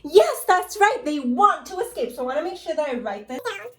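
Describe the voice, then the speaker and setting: High-voiced woman teacher. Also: big pitch swings, brisk, a bit bright, echoey room. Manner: encouraging, addresses class as scholars. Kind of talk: class read-aloud and lesson.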